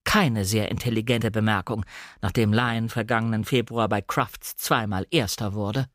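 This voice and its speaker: A man's voice: lowish voice, fairly even intonation, normal speed, fairly bright tone, no room sound, studio quality, lightly acted. A man voicing a bossy, outspoken upper-class country woman in a dramatic novel reading. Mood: chiding. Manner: outspoken, chiding.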